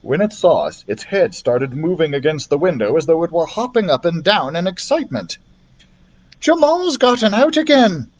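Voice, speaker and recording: A man reads a children's fantasy tale aloud in a fairly high male voice. His delivery is very lively and varied, the expressive style of a storyteller who acts out the characters. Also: a little fast, hardly any pauses. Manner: animated, acts out the characters' dialogue. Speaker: library storyteller reading a children's novel aloud.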